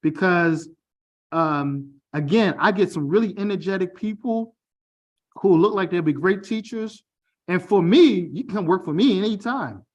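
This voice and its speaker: A man's voice: high-pitched, measured pace. A middle-aged man from Baltimore with a mostly standard American accent and a slight Baltimore tinge, giving an online information session in a direct, conversational way and often checking in with "okay?" and "all right?". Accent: General American, mild Baltimore. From Baltimore, United States. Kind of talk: online presentation. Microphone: video call.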